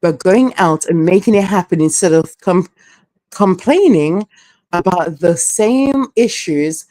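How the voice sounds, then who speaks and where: A woman's voice: lowish voice, expressive, unhurried, mellow, no room sound, poor audio. Candid woman chatting on a close, dry mic. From Canada. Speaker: live stream host. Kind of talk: casual live stream chat, reading out viewer comments.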